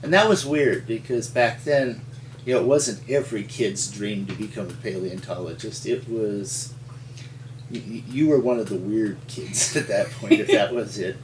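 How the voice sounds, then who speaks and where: Man, low voice, folksy rambling storyteller, roomy echo, faint background noise. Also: moderate pace. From Montana. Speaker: resident paleontologist. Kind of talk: storytelling interview. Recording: echoey room, mic at a distance.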